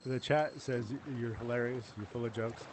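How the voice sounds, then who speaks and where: A man's voice: medium pitch, fairly even intonation, a little fast. A man hosting a game stream, asking polite, hesitant questions and laughing often. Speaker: streamer host. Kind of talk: casual chat. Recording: noisy stream audio with clicks.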